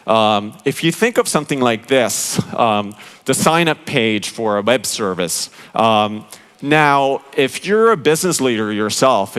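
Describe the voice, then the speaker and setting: Man, mid-pitched and clear-toned, explaining to a conference crowd with frequent pauses. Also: a bit bright. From Finland. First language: Finnish. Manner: explanatory, halting with many fillers, addresses the audience directly. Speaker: conference speaker, interaction design director. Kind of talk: conference keynote talk.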